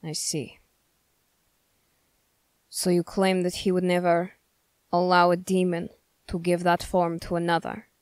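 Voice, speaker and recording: Girl doing a stern suspicious young soldier guy for asmr roleplay, lowish voice, really slow and formal, super dry close sound. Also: little pitch movement, a little mellow, no echo, lightly acted. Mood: wary, questioning. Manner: measured, formal, interrogating. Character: stern, suspicious young male Fjerdan soldier, witch hunter. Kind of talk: ASMR character roleplay, slow scripted monologue.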